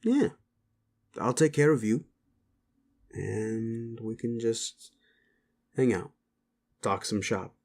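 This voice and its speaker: A man playing a gruff, sleazy werewolf boss with a hidden soft side, talking rough slang in an intimate, halting audio drama style. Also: medium pitch, expressive. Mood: gruff, flirtatious.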